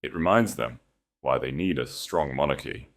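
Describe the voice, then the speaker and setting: A man voicing a cold, shrewd queen in an audiobook, measured, composed and quietly commanding. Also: a bass voice, lively intonation. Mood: weary, calculating. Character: cold, shrewd, imperious queen, calm and calculating. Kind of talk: audiobook character acting.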